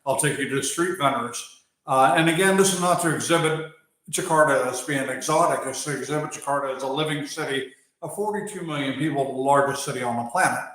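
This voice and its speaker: An American-accented man talks through a live stream at an easy pace, pausing and filling gaps with "uh" as he answers viewers. His voice sits at a middle pitch, and it bounces around a strongly echoing space. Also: a bit bright. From Germany. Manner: unhurried, pausing with fillers, answering chat between thoughts. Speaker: wildlife channel host. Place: outdoors or open area while working on a bike. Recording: very echoey space.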